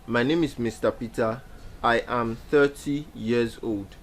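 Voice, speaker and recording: A man's voice: medium pitch, steady tone, measured pace, a little hiss or hum. A young man teaching a beginner programming lesson over a screen share, explaining step by step with frequent fillers and repeated phrases. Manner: explanatory, repeats key points with many fillers. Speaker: programming instructor. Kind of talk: screen-share coding tutorial.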